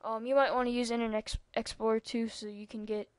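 A woman's voice: fairly high pitch, little pitch movement, quick pace, a little mellow, smooth, no room sound. A young-sounding female speaker giving a rambling, casual computer tutorial over a screen recording, with lots of ums and asides. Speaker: tutorial maker.

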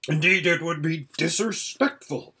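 A man's voice: fairly high pitch, unhurried, sharp tone, husky, exaggerated voice. A man voicing a proud, faintly foolish male horse in a storybook, with a pompous, lecturing manner. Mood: condescending.

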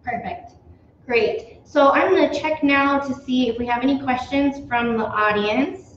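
A woman's voice: high-pitched, measured pace, slight background noise. Young woman hosting with many "um"s. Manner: friendly, lots of "um"s. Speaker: host. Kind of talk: hosting a live company Q&A. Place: small office room. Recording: very echoey, dull office recording.

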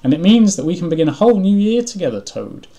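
A man acts out a cheerful storybook frog who is eager and insistent. The voice jumps high and loud as it calls out to a sleepy friend. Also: big pitch swings, quick pace. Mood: upbeat, reassuring. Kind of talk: children's storybook read-aloud.

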